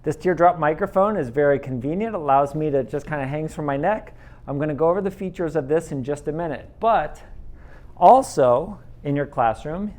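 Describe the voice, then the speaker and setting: A man with a slightly higher voice explains classroom technology to teachers in an easy, conversational way. He speaks through a pendant microphone in a classroom. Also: a little mellow. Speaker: instructional technology trainer. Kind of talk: training presentation. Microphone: teardrop pendant microphone.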